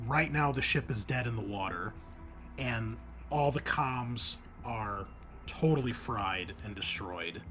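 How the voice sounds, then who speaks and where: A man explaining a tabletop roleplaying scenario as game master, speaking in a hesitant, halting way. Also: medium pitch, a bit bright. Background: background music.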